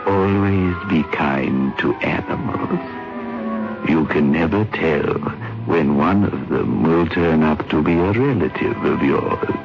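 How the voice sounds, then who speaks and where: Over loud music on a muffled old radio recording comes a macabre horror-show host. His extremely deep voice drawls with sly, darkly amused mock solemnity. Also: slow, gravelly, exaggerated voice. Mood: wry, ghoulish. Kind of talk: 1960s radio horror hosting.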